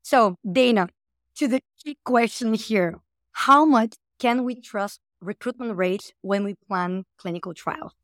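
A woman's voice: a little high, unhurried, fairly bright tone, no echo, clean studio sound. A woman from Bulgaria hosting a podcast and interviewing a guest in an upbeat way, sometimes repeating words as she goes. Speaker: podcast host.

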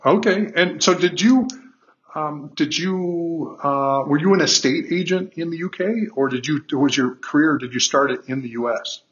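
Male podcast host, middle pitch, halting with lots of "uh", dull lo-fi audio. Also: fairly bright tone. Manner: hesitant, many fillers and restarts. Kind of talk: podcast interview.

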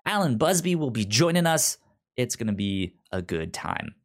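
A man hosts a casual podcast at a middling pitch. His speech stutters, with sounds and syllables often repeated. The delivery is conversational and informal. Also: very animated, normal speed. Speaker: podcast host.

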